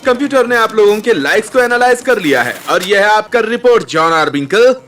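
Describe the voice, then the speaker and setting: A man's voice: high-pitched, expressive, brisk, a bit bright, soft background music. A man playing a slick, over-eager TV game show host with showy announcer patter, in a dubbed children's cartoon. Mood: showy, brisk.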